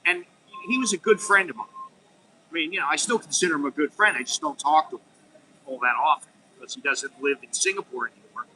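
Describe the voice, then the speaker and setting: A man's voice: a little high, lively intonation, fast talker, sharp tone, slight background noise, low-quality recording. A middle-aged American man talking frankly in a rambling, unscripted monologue, full of restarts and "you know.". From United States. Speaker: vlogger.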